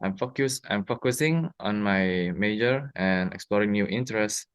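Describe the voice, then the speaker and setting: Low-voiced young Indonesian-accented man. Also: moderate pace, clear, resonant tone, dry recording, clean studio sound. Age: young adult. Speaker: university student. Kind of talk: prepared question-and-answer speaking exercise.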